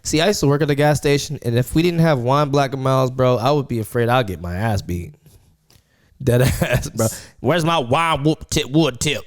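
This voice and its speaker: A man's voice: medium pitch, fairly quick. A man in his twenties hosting a podcast, chatting casually with lots of fillers and repeated words. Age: 20s. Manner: rambling, full of fillers and repeats. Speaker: podcast host. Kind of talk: casual chat.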